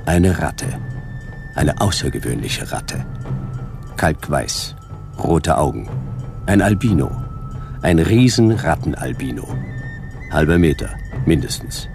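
An actor playing a laconic, world-weary detective who narrates and speaks tersely, with dry deadpan, in a radio drama. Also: male voice, very deep voice, takes it slowly, gravelly, pauses often, over music, exaggerated voice. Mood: tense, terse narrating. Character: laconic, hard-boiled male sci-fi detective, wry and world-weary.

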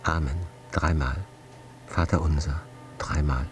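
A very deep voice as a solemn magician in a radio drama. He intones an old healing spell slowly, with some noise and hum behind him. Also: steady tone. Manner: slow, incantatory. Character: solemn male magician reciting an old blood-charm incantation.

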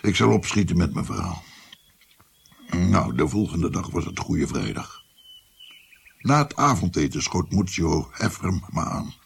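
Older male for radio play: rueful grandfather storyteller, very husky, deep voice. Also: animated, measured pace, pauses often, full character voice. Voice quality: gravelly, husky. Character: old grandfather physician recounting his past, rueful. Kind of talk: radio drama narration.

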